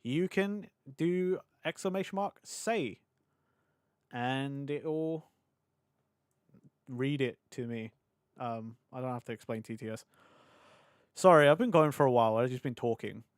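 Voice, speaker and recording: A young British man streaming live, rambling and laughing as he chats with his audience, his voice a little hoarse from hours of talking. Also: mid-range voice, dry recording, clean studio sound. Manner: chatty, scattered, quick to laugh. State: slightly hoarse. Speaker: VTuber streamer. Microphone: desk mic on a boom arm.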